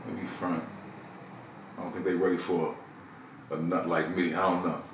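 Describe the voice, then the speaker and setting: A man's voice: low-pitched, level tone, moderate pace, distant mic, noisy background. A man, a radio personality, chatting as a guest in a laid-back, playful, swaggering way. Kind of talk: casual interview chat. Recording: echoey room, voice off-mic.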